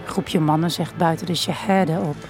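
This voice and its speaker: An actress playing a grieving daughter who narrates an audio drama in a measured, intimate, solemn way. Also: female voice, low voice, steady tone, music in the background. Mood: troubled, intimate. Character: grieving daughter narrating her dying mother's last days. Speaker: narrator actor. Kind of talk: literary first-person audio drama narration.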